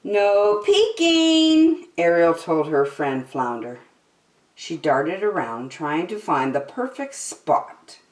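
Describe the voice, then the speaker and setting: A middle-aged woman reading a picture book aloud expressively, as a children's story time host. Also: low voice. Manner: animated, deliberate. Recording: slightly echoey room.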